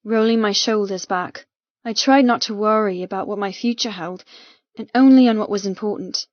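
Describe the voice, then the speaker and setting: A woman's voice: mid-range voice, normal speed, no echo. A woman reading first-person fiction as an audiobook narrator, with an emotive, dramatic delivery. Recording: dull, muffled, dry recording.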